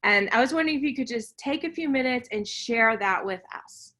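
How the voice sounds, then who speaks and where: A woman's voice: on the high side, expressive, a little fast, bright, forceful tone. A woman hosting a podcast interview, teasing her guest in a playful, casual way. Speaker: podcast host.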